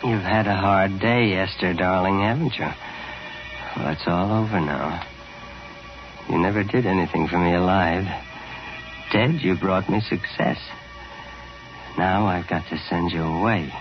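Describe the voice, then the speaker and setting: An actor in an old-time radio drama playing a nervous, lonely, murderous department-store window dresser who stammers and hesitates. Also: male voice, low voice, unhurried, long pauses, over music. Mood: eerie, brooding. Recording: muffled, hissy vintage radio recording.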